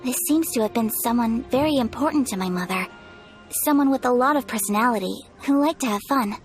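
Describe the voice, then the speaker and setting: A woman plays a courteous young noblewoman from a video game. The voice is high and the phrasing formal and measured, earnest yet reserved, with music underneath. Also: close to an everyday voice. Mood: earnest, confiding. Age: young adult. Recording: background music.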